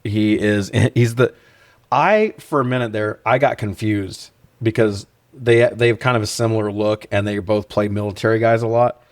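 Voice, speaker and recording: Low-pitched man chatting casually, close and dry. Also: steady tone, moderate pace, no echo. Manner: opinionated, profane, joking. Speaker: podcast co-host. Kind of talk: casual film review chat.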